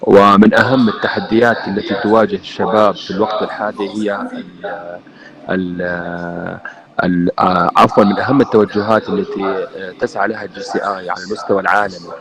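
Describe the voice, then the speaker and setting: A man giving a formal greeting speech, hesitant and halting. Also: deep voice, flat delivery, crowd noise, low-quality recording. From Syria. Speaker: national president of a youth organization.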